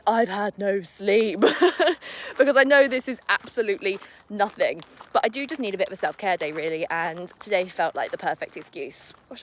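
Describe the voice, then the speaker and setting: A young woman talks into her phone as she walks her dog outdoors, her voice a little croaky from the early morning. She rambles in a friendly, upbeat way, full of fillers and quick self-corrections. A faint hiss sits under the recording. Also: mid-range voice. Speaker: lifestyle vlogger. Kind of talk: casual vlog chat to camera. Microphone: phone held while walking.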